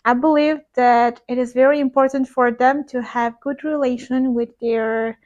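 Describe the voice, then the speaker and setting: Woman, high voice, chatty vlog, kinda muffled lo-fi audio. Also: steady tone, normal speed, a little mellow. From Armenia. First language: Armenian. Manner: chatty, frank, with frequent fillers. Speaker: vlogger and ESL teacher. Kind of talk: casual talk to camera.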